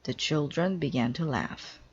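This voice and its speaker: Husky, low-voiced woman reading a storybook slowly. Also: darkish tone, highs cut off. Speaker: storybook reader. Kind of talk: children's read-aloud narration.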